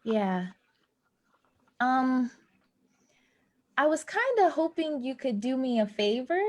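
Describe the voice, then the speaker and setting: Woman acting anxious young female worker, plainspoken, fairly high voice, everyday stage drama dialogue. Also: very animated, slow pace, a little mellow, exaggerated voice. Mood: pleading, insistent. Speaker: actor in a staged play reading. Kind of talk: naturalistic stage dialogue reading.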